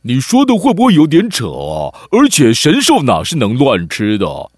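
A man's voice: medium pitch, wildly swooping pitch, moderate pace, darkish tone, no room sound. A man voicing a cheeky, sarcastic young boy who whines and complains in a children's radio drama. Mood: exasperated. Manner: whiny, exaggerated, complaining.

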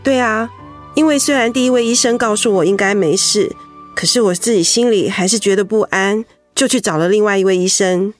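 A woman's voice: medium pitch, a little fast, faint music behind, close to an everyday voice. An actress playing a young married woman, a composed but anxious patient, in a radio drama. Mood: reflective. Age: young adult.

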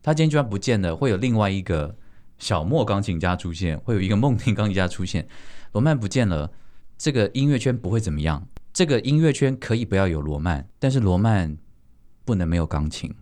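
Male host, Taiwanese Mandarin accent, lowish voice, conversational storytelling for a relaxed panel. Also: brisk. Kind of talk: conversational anecdote and moderating.

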